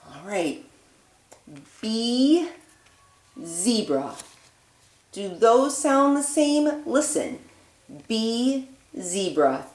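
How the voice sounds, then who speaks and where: Woman teacher for small kids, slow and lilting, slightly high, roomy echo. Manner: sing-song praise to young children, slow exaggerated words. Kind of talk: early-childhood rhyming lesson.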